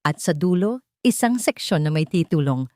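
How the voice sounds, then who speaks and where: Low-voiced woman narrating a dramatic story. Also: lively intonation, moderate pace, mellow, no room sound, clean studio sound.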